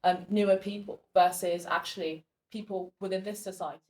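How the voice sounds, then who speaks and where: A woman moderating a panel discussion, asking a question with many pauses and fillers. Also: mid-range voice, fairly even intonation, reverberant, poor audio.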